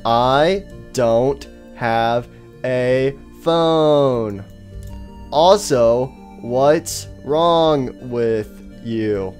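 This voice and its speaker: A man voicing a blunt, faceless animatronic in a comedy skit, dragging out each word with long pauses. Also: mid-range voice, darkish tone, soft background music. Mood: blunt, slow. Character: faceless animatronic, painfully slow, blunt, plodding. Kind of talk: amateur comedy skit voice acting.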